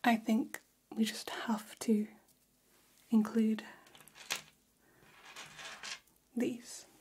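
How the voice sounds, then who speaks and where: A woman's voice: fairly high pitch, little pitch movement, takes it slowly, mellow, slight background noise. A woman whispering as an elegant, gossipy female vampire hairstylist in an ASMR role-play, confiding and soothing, close to the microphone. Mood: soft, admiring. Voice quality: breathy, whispered.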